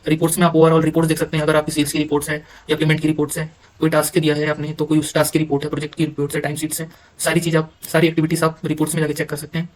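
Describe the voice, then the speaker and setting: An Indian man giving an informal software walkthrough, halting at times and mixing English terms into his speech. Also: a little high, monotone, low-quality recording. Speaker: software demonstrator. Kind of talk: informal screen-recorded tutorial walkthrough.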